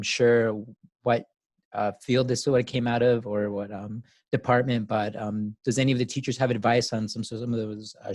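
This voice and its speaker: A man moderates an online meeting in a casual, halting way. His pitch sits in the middle and the sound is dry, with little room in it. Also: a little mellow, dry recording. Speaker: moderator of a student open forum. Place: online video call.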